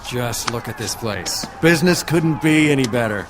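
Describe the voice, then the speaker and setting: A man's voice: mid-range voice, lively intonation, moderate pace, crowd noise, background noise, natural voice. An actor playing a jovial, boastful businessman who is evasive with creditors, in an effusive, exclaiming audio drama style.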